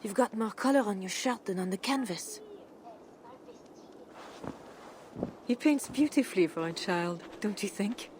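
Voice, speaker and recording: A woman playing a dignified, guarded and pious medieval Frankish queen in measured, formal video game dialogue. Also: medium pitch, lively intonation, a little mellow, slight background noise, natural voice. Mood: gently maternal. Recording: music behind the voice.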